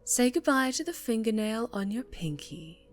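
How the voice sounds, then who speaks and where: A woman playing a sadistic, seductive mafia boss in an ASMR roleplay, teasing and menacing, often dropping into breathy whispers. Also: on the high side, sing-song, moderate pace, soft background music. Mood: sadistic amusement.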